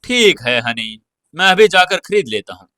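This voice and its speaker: A man's voice: sits high, very animated, normal speed, sharp tone, dry recording, studio quality, voice pushed up, exaggerated voice. A man voicing a young husband, pleading yet cheeky, in an audio serial drama. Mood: dejected. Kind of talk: audio serial character dialogue.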